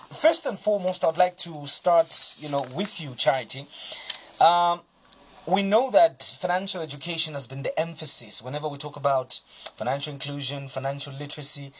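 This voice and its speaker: Host, man, husky voice, probing, conversational. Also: on the high side. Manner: probing, conversational, repeats words. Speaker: TV show host. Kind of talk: TV interview questions.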